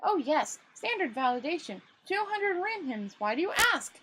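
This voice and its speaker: A woman's voice: sits high, sing-song, normal speed, sharp tone, voice pushed up, heavily acted, poor audio. A woman reading a storybook and voicing a naive, well-meaning male tourist who is earnest, chatty and plaintive. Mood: earnest, cheerful.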